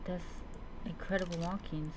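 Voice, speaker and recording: A woman's voice: on the low side, steady tone, normal speed, noisy background. A woman doing in-character commentary as she plays a roleplay adventure game, musing dramatically.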